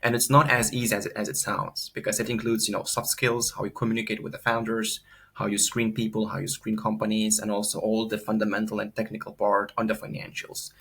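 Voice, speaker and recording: A man's voice: on the low side, flat delivery, a little fast, fairly bright tone, breathy edge. A young man from Lithuania, giving an earnest, unscripted course testimonial full of fillers and repeated words.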